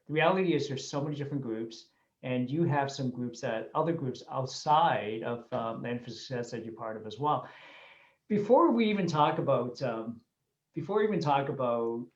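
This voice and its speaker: A man hosting a livestream interview, talking to viewers in an upbeat way and often repeating his words. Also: mid-range voice. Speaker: host of a Facebook Live show. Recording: echoey, muffled webcam audio.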